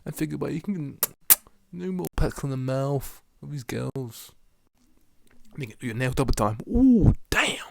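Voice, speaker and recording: A man's voice: medium pitch, animated, moderate pace, creaky, no room sound, studio quality, voice pushed up. A man doing a preachy hustle-guru rant. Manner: preachy, lecturing the viewer. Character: pushy male hustle-culture motivational ranter.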